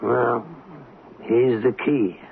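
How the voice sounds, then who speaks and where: An actor playing a patient, persistent police lieutenant in an old-time radio drama, probing and coaxing in a halting way. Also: male voice, mid-range voice, flat delivery, creaky, slight background noise. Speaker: police detective. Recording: muffled old radio recording, music behind.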